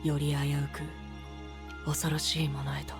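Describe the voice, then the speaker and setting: Gentle mystic young man, soft measured soothing delivery, anime audio drama, prominent background music. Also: a little high, monotone, vocal fry. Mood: calm, grave. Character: gentle, enigmatic young male stargazing seer. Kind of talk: Japanese anime voice drama acting.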